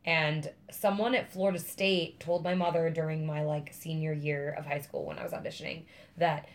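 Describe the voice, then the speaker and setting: A young woman from Missouri with a low, raspy voice, telling her story in casual podcast chat, animated and full of likes and ums. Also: moderate pace. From Missouri, near Kansas City.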